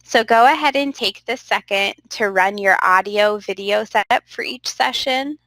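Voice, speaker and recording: A woman's voice: medium pitch, normal speed, a bit bright, no echo, poor audio. A young adult woman teaching a virtual class, upbeat and encouraging, a little stuffy from a cold, talking into a computer microphone. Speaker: instructor. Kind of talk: online class lecture.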